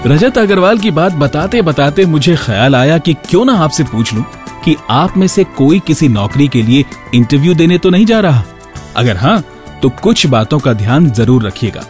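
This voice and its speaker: Guy presenting a radio show from a script, very expressive pitch, bit of music under him. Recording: music behind the voice. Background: background music.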